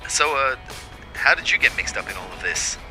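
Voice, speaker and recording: A man's voice: medium pitch, expressive, normal speed, sharp tone, faint music behind, low-quality recording. An Australian man playing an exasperated young ghost who narrates his own audio drama. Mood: wry narrating. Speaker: actor narrating and playing the lead. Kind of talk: first-person audio drama narration and dialogue.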